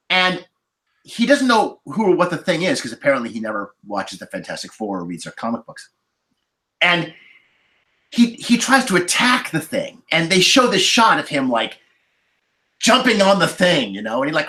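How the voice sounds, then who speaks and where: A man chatting casually on a podcast panel, telling stories with many asides. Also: a little high. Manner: animated storyteller, many asides. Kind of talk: casual anecdotal chat.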